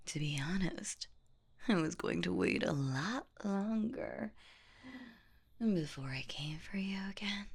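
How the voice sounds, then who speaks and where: A woman voicing a sadistic, playful boogeywoman in a horror audio roleplay, whispering in a husky, teasing, menacing and mock-sweet way. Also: expressive, takes it slowly, heavily acted. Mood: eager, predatory. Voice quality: breathy, husky whisper with creaky low notes. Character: sadistic, playful female boogeywoman monster hunting humans.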